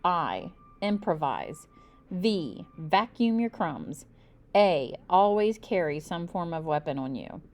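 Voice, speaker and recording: Woman voicing an emphatic, animated storybook uncle giving tips. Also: fairly low pitch, slow, faint music behind. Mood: confident, instructive. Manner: emphatic, instructive. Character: confident uncle dispensing survival tips to children. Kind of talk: children's storybook read-aloud.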